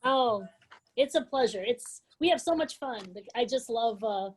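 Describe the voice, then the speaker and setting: A woman hosting an event on a video call, chatting casually and a little haltingly. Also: on the high side, lively intonation, husky. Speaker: event host and organizer working with children. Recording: noisy video-call audio with clicks.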